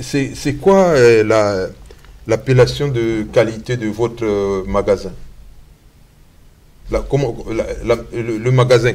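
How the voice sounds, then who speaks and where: A man interviewing a guest on a TV show, asking his questions haltingly with frequent filler sounds. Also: fairly low pitch, darkish tone. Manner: hesitant, many filler sounds.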